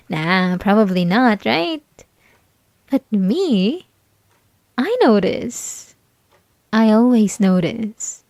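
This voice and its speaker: A young woman playing a mocking, possessive bully in an intimate ASMR roleplay, condescending and teasing, with breathy asides. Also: a little high, sing-song, drawn-out words, pauses often, darkish tone, dry recording, heavily acted. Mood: possessive, menacing. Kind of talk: ASMR roleplay, intimate scripted monologue to listener.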